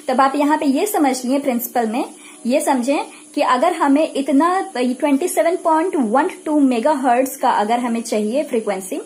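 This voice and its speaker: A woman's voice: high voice, a little mellow, a little hiss or hum. A woman with an Indian accent lecturing in a didactic, repetitive way, asking questions and answering them herself. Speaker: physiotherapy lecturer. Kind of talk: explanatory lecture mixing Hindi and English.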